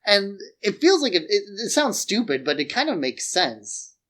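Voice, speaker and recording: A man's voice: high voice, big pitch swings, moderate pace, a bit bright. A man co-hosting a podcast, giving opinionated, analytical takes in casual film discussion. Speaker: podcast co-host.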